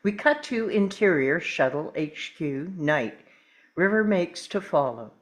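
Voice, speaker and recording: Slow, measured woman reading stage directions. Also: low-pitched, very animated. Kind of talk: scripted narration at a table read.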